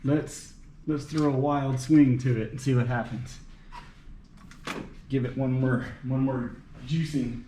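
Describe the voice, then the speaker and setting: A man's voice: mid-range voice, fairly even intonation, takes it slowly, long pauses. A man giving casual, folksy running commentary to himself as he works on a car in a garage. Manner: talks to himself, folksy and playful. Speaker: vlogger working on his car. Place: home garage. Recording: roomy garage echo, clear background noise.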